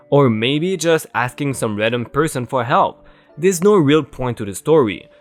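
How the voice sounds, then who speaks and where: A man narrating Reddit stories aloud in a lively, sing-song reading voice. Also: mid-range voice. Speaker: story narrator.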